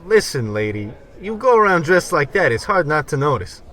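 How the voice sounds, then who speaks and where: Guy doing an old radio wiseguy, highish voice all over the place, slangy smooth talker, scrappy. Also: big pitch swings, normal speed, bright, forceful tone. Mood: indignant. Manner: slangy, wisecracking. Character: smooth-talking, scrappy male pal, 1940s wiseguy. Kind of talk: 1940s radio comedy acting.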